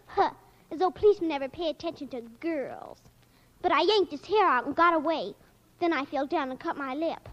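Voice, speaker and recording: Mischievous little girl, very high, old radio played by a female. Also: a little fast, lightly acted. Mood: aggrieved. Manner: boastful, theatrical, matter-of-fact about mayhem. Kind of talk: 1940s radio acting. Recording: dull old radio recording.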